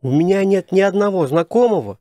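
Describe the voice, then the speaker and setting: A man's voice: sits high, expressive, fairly quick, mellow, no room sound, clean studio sound, pitched above the performer's own voice. A man voicing a scornful, know-it-all teenage son in a satirical family audio play. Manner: smart-alecky, dismissive.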